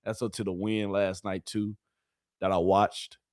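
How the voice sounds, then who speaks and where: A man hosting a live watch-party stream, hoarse from yelling, talking animatedly to his chat and repeating catchphrases. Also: on the low side, little pitch movement, measured pace.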